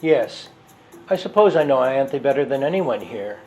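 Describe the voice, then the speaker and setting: A man's voice: mid-range voice, lively intonation, normal speed, a little mellow, faint music behind, lightly acted. A man playing a self-satisfied, chatty librarian in a dramatized novel reading, talking with some self-importance.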